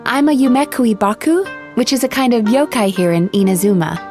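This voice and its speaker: An actress plays a courteous female dream-eating spirit in a video game. Her voice sits on the high side, soothing and earnest with an apologetic streak. Music plays softly behind her. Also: lively intonation, brisk, lightly acted. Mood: friendly, explaining. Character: gentle female dream-eating yokai therapist, polite and apologetic. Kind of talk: scripted video game voice acting. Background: background music.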